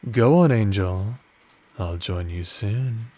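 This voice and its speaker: A man playing a doting, teasing evil necromancer husband in an intimate ASMR roleplay, speaking softly with flirtatious endearments. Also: lowish voice, takes it slowly. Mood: warm, cheerful. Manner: soft, soothing, flirtatious, affectionate endearments.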